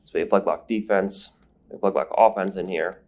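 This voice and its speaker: A man's voice: low voice, steady tone, brisk, darkish tone. A man giving step-by-step voice-over commentary as a grappling instructor, sniffling from a numbed nose. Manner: explanatory, step-by-step breakdown. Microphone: voice-over recorded separately.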